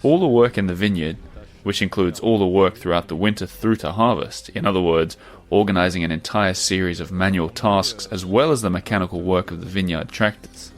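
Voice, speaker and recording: A man with a lowish voice gives interview answers as a voice-over. He explains things in a measured, even way. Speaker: interviewee, vineyard expert.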